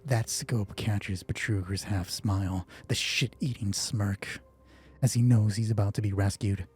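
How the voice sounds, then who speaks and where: A man's voice: on the low side, steady tone, measured pace, darkish tone. A man narrating a solo tabletop roleplay as game master, informal and moving between dramatic storytelling and thinking aloud.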